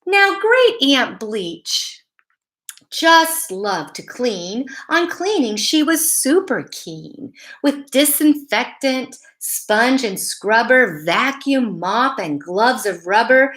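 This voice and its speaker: Woman, children's story-time host. Higher-pitched, very bright voice, unhurried read-aloud delivery with questions to the kids. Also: very animated. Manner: addresses young listeners with simple questions.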